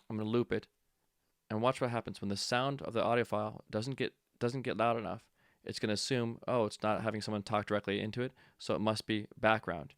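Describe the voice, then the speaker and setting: A man's voice: fairly low pitch, dry recording, clean studio sound. A man teaching audio production in a casual tutorial, explaining step by step and thinking aloud as he goes.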